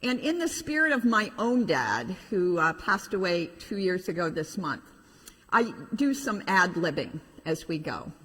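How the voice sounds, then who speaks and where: A middle-aged woman, a pastor telling a children's story in an animated way with informal asides. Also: on the low side, measured pace, husky. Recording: reverberant hall.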